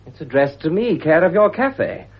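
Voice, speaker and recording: Young British gentleman, educated and wry, slightly husky, vintage radio play with muffled fidelity. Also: on the high side, very animated, moderate pace, full character voice. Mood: wry, puzzled. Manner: polite, wry, educated phrasing. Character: down-on-his-luck, well-spoken young gentleman, puzzled and wry. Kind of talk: 1950s radio drama acting. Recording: dull, husky 1950s radio recording.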